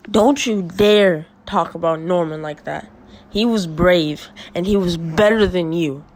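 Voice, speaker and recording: A woman's voice: fairly low pitch, a little slow, darkish tone, lightly acted. A woman playing an earnest, determined detective's assistant who narrates a radio play in plain first-person storytelling. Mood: confronting.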